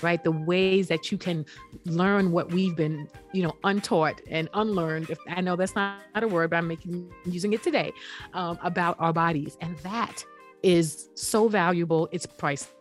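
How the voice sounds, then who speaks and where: A woman's voice: lowish voice, steady tone, moderate pace, faint music behind. A woman founder speaking as an interview guest in an earnest, emphatic way, often checking in with "right?". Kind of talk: conversational interview.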